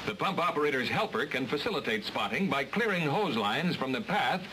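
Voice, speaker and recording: An American man reading scripted mid-century training film narration in a measured, instructional, clearly enunciated way on an old, noisy soundtrack. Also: mid-range voice, animated. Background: loud hiss.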